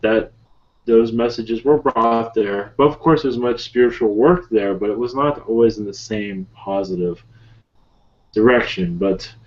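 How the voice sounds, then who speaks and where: A man voicing an ancient otherworldly spirit guide in a calm, solemn, halting manner with measured, broken phrasing. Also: lowish voice, lightly acted. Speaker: channeler leading a webinar session. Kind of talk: slow improvised channeled speech. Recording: muffled lo-fi webinar call audio.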